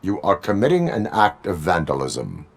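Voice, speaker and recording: An actor playing a stern cyborg police officer in a video game, speaking in a formal, measured, robotic deadpan. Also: male voice, creaky, full character voice. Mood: stern, flat. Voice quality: deep, measured voice.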